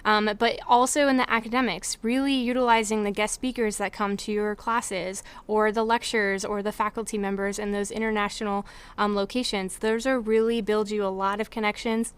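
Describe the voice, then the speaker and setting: A woman's voice: medium pitch, level tone, normal speed, smooth. A young woman advising students on study abroad, speaking earnestly with frequent filler words. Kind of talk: informational presentation.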